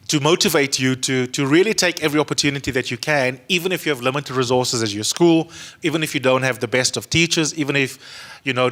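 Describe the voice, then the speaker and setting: A man's voice: mid-range voice, fairly bright tone. A man in his thirties with a South African accent, whose first language is Afrikaans, speaking earnestly on a panel to a young audience in an auditorium, holding a handheld mic and repeating words for stress. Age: 30s. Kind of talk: speech to a youth audience. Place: museum auditorium with a seated audience.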